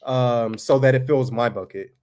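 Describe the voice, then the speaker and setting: A man with a monotone voice chatting casually to viewers as host of a family farm vlog, laid-back and folksy. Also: mid-range voice, moderate pace, smooth.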